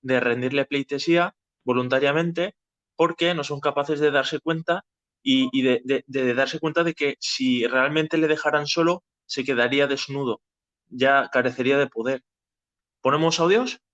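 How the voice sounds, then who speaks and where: A man's voice: medium pitch, normal speed, fairly bright tone, no echo. Man, dry and slightly muffled sound. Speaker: host of a political audio discussion. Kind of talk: casual explanatory discussion. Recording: dry, slightly dull lo-fi audio.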